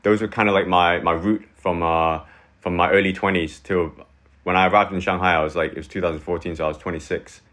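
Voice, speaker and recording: A man's voice: deep voice, fast talker. A man in his thirties from Hong Kong, a guest chatting casually in a loose, rambling way full of fillers. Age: 30s. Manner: relaxed, rambling, many fillers like "like" and "you know". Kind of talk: casual chat.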